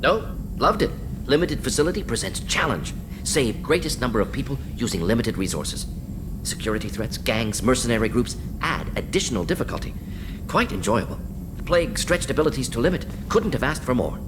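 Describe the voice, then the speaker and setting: An actor playing a brisk, eager alien scientist in a video game, talking in clipped, telegraphic, analytical bursts. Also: male voice, medium pitch, sing-song, noisy background, close to an everyday voice. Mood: eager, upbeat. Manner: clipped telegraphic sentences, rapid-fire, analytical. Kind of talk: video game character dialogue. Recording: game audio over humming ambience.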